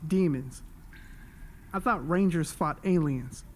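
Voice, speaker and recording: A man's voice: fairly high pitch, slow pace, slight background noise. A man playing an inquisitive young ranger recruit. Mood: curious. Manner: short wondering questions. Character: young man, new ranger, curious and wary.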